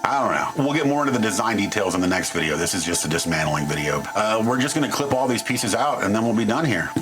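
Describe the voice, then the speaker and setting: A man's voice: fairly low pitch, sing-song, quick pace, music in the background. A man narrating a hands-on electronics teardown in an informal, wry, self-deprecating and mildly crude way. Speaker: host of an electronics teardown channel. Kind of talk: casual hands-on tutorial narration.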